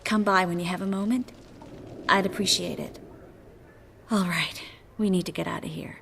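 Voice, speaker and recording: A woman playing a composed, worldly sorceress in a video game, with a measured, dry, wry delivery. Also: fairly low pitch, vocal fry. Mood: guarded, decisive. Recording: faint ambient noise behind.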